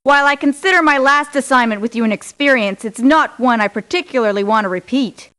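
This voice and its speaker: An actress playing a proud, aggrieved mercenary for hire in a video game, with a curt, assertive delivery. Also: female voice, high-pitched, a little fast, sharp tone. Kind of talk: scripted video game voice lines. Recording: lo-fi muffled game clips in places.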